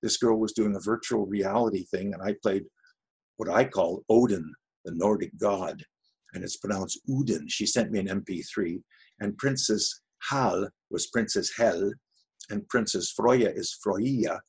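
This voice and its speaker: A man's voice: fairly low pitch, unhurried, darkish tone, husky. A man with an Ottawa Valley Canadian accent, a voice actor chatting as a guest in an interview, telling stories. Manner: chatty, storytelling. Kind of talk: casual interview chat.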